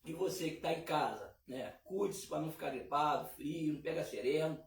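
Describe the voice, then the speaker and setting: Hoarse man hosting gospel radio. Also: a little high, brisk, slight background noise. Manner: warm preacherly, many fillers and repeats. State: hoarse from a cold. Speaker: radio show host. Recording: echoey room, distant mic.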